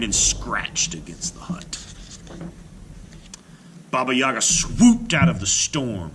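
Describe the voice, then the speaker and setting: A man's voice: medium pitch, a bit bright, slight background noise, low-quality recording. A man reading a folktale aloud to children in a theatrical, emphatic storytelling style. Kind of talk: dramatic children's read-aloud narration.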